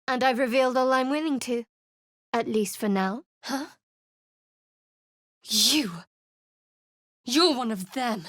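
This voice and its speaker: A guarded young cleric, played by a woman, with a dry, sardonic edge to every line. Her voice sits a little high and is close-miked in a completely dry studio. This is game dialogue, cool and wary. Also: dry recording, close to an everyday voice. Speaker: game character. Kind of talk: video game voice acting. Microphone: studio mic.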